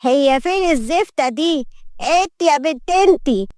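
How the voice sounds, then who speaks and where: A woman's voice: high-pitched, expressive, normal speed, a little mellow, dry recording, clean studio sound, pitched above the performer's own voice. A woman with an Egyptian accent voicing a cantankerous, imperious old lady of the house, scolding and drawling in an audio story. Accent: Egyptian Arabic.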